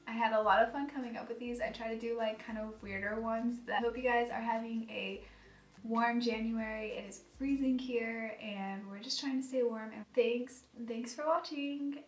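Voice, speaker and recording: A soft-spoken American woman in her twenties chatting casually and giggling in a vlog. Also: on the high side, steady tone, moderate pace. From United States. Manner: chatty, giggly, self-deprecating. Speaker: vlogger. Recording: echoey room, voice a bit far from mic, faint music.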